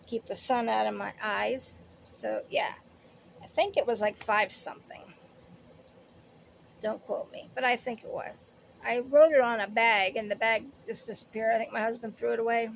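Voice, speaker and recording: A woman's voice: fairly high pitch, fairly even intonation, moderate pace, creaky, a little hiss or hum, rough recording. A woman in her late fifties chatting casually through a shopping haul, rambling and interrupting herself as she goes. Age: late 50s. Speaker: haul vlogger. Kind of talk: casual product haul chat.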